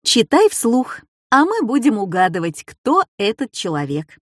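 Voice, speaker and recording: A woman voicing a composed, authoritative schoolteacher in a children's story, with measured, instructive delivery. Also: on the high side, wildly swooping pitch, breathy edge, no room sound, clean studio sound, full character voice. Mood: encouraging.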